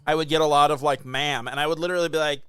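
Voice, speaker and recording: A man in his thirties trading jokes on a podcast, his voice sitting a bit higher than most men's. He teases his co-host and laughs easily. The sound is dry and close, with no room around it. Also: steady tone, rapid-fire, bright, forceful tone, clean tone, no room sound. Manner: jokey, teasing, laughs often. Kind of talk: casual comedic chat.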